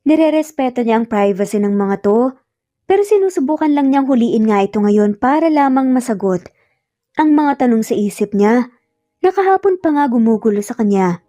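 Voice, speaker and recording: Mellow female romance storyteller, fairly high. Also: lively intonation, normal speed, no echo, clean studio sound. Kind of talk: scripted narration of a romance story.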